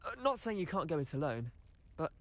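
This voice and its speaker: An actor playing a cheeky, eager teenage wizard schoolboy with a British accent and chatty, cocky manner in a video game. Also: male voice, a little high, big pitch swings, brisk, a bit bright. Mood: cheeky, keen. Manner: chatty, boyish, colloquial, cocky. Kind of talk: video game character acting.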